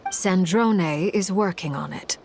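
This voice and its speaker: An actress playing a cool, formal, dryly condescending female commander in a video game, in a measured deadpan. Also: on the low side, gravelly, a little hiss or hum. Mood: composed, serious. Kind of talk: English video game voice acting. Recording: game music behind the voice.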